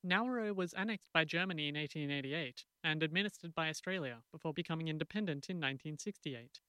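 A man with a bright, smooth, resonant voice, pitched a little high, presenting a radio piece. He reads his script and puts questions to a guest in a crisp, measured way, recorded dry and close. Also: no room sound. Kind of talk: interviewing and scripted narration.

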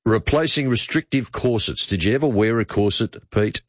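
A man's voice: on the low side, normal speed, no room sound, lo-fi sound. A man hosting talk radio, bantering off the cuff with dry teasing and pointed questions. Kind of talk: unscripted banter with a caller.

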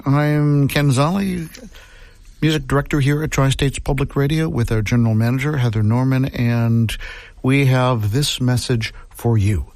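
Gravelly, slow-talking man on local radio. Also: medium pitch, a little mellow, no echo. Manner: affable, repeats the phone number. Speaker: radio music director. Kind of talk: radio pledge drive chat.

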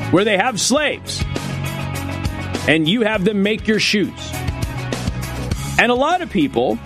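A male radio talk show host delivering an opinionated monologue, sarcastic, with mocking swings up in pitch. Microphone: studio microphone.

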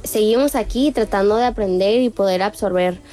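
A woman's voice: medium pitch, normal speed, warm tone. A woman with a Mexican Spanish accent answering casually as an interview guest. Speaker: interview guest, restaurant founder.

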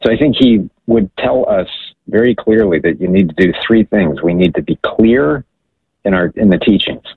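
Man around 60 from Hawaii, radio guest, deep gravelly voice, husky, tinny phone line. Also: very animated, dry recording. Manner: earnest, measured storytelling.

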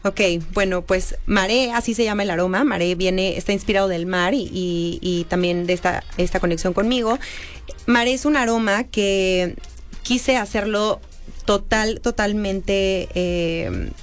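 A young woman born in San Diego and raised Mexican, a perfume creator answering interview questions earnestly, with many pauses and fillers. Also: medium pitch, steady tone, faint music behind. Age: young adult.

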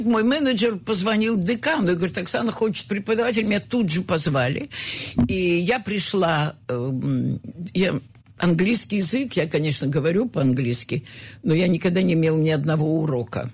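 An older woman from the Soviet Union, a concert pianist giving an interview, talking in a rambling, halting way with many fillers. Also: lowish voice, expressive, slightly rough. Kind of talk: reminiscing interview talk.

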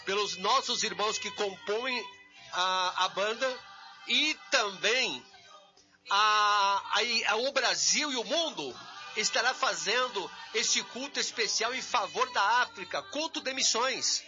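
A Brazilian male pastor makes an emphatic church announcement in a high, very piercing voice. He urges people on, repeating phrases for emphasis. The sound is a little dull, with soft music behind. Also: expressive, unhurried. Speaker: pastor and co-host.

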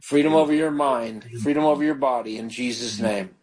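A man's voice: mid-range voice, brisk, darkish tone, low-quality recording. A man, a pastor hosting a live call-in radio show, leading callers in fervent prayer.

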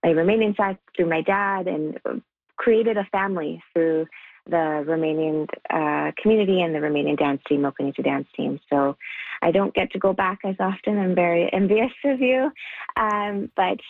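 Female interview guest, conversational, slightly low register, narrow telephone-like audio with no room echo. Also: normal speed. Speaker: radio guest, dance group artistic director. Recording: muffled phone-like line, very dry.

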